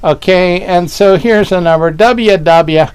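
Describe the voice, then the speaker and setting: A man from Western Pennsylvania runs through fabric numbers and prices in a relaxed, joking way. His voice sits high and comes across smooth and clean. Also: measured pace, no room sound. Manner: joking, wry asides. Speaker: fabric shop co-host. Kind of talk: casual live product showcase.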